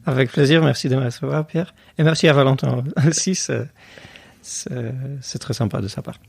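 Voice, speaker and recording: A man's voice: medium pitch, moderate pace, creaky, breathy edge, no room sound. A man, a podcast guest talking casually and hesitantly with many fillers. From Poland. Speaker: guest, tech company cofounder.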